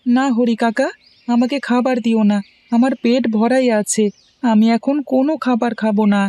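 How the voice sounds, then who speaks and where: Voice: medium pitch, flat delivery, moderate pace, warm tone, lightly acted. A voice actor playing a young son who worries about his quarreling parents in a cartoon. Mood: worried.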